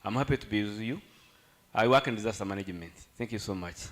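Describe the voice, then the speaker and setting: A man's voice: lowish voice, fast talker. A man from Rwanda who works in disaster management introduces himself at a meeting in a friendly way.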